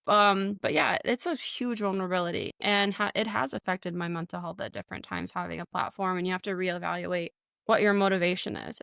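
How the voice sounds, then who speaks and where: A woman's voice: lowish voice, creaky, a bit bright, no room sound, clean studio sound. A young woman, a flight nurse, talking candidly as a podcast guest, laughing often and using many fillers. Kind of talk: casual interview talk.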